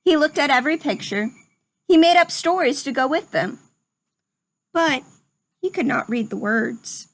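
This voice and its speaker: A woman with a high, very lively voice narrates a children's storybook. She speaks slowly and expressively, as if to young listeners. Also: poor audio. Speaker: storybook reader. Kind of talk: children's read-aloud narration.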